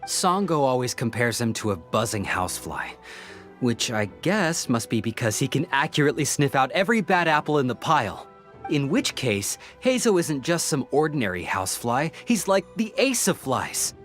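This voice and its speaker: An actor playing an earnest, polite young male detective's assistant in a video game, hesitant, apologetic and gently exasperated. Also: mid-range voice, big pitch swings, normal speed, faint music behind. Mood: admiring, concerned. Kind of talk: video game character dialogue.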